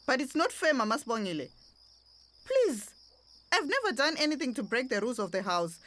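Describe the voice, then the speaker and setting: A woman's voice: high voice, sing-song, brisk, fairly bright tone, a little hiss or hum. A young woman with a Zimbabwean accent playing a distressed, respectful tenant pleading in a radio drama. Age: young adult.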